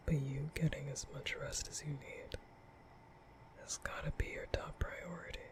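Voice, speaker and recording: A man playing a nervous, gentle young vampire in a whispered ASMR roleplay, soft and breathy, speaking in a tender, hesitant, apologetic way. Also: medium pitch, fairly even intonation, moderate pace, natural voice. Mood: tender concern. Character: nervous gentle young male vampire comforting a sick human.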